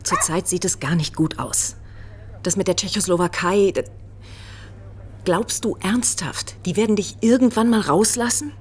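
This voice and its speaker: An actress playing a worried, nagging middle-aged wife with a husky voice in a German radio drama. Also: female voice, medium pitch, moderate pace, lightly acted. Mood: worried, pleading.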